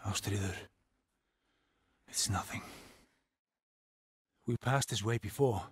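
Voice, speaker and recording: A man with an Icelandic accent playing a grave, weary Norse villager in a video game, with a husky, breathy voice. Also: fairly low pitch, slow pace, exaggerated voice. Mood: steady, guarded. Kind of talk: dramatic video game voice acting.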